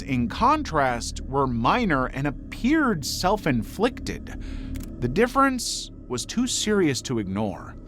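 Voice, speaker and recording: Male true crime narrator: slightly high register, animated melody, measured dramatic phrasing over a music bed. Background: background music.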